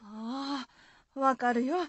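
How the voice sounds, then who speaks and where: A woman's voice: high voice, fairly even intonation, takes it slowly, breathy edge, no room sound, full character voice. A woman playing an anxious, caring rustic wife in a folk-tale radio drama, fretful and questioning, with Shizuoka dialect. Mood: anxious, curious.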